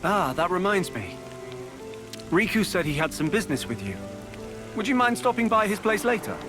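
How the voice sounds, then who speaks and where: A man's voice: high voice, big pitch swings, moderate pace, music in the background. Thoughtful, lighter-voiced male resistance fighter. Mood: helpful. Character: thoughtful, level-headed man of a resistance band. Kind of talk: video game cutscene acting.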